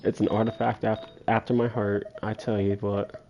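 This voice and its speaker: Low, creaky-voiced man musing over a game. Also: level tone, normal speed, lo-fi sound, a little hiss or hum. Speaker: video game livestreamer. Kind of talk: casual solo gameplay commentary, thinking aloud. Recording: faint game music behind voice. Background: faint video game music.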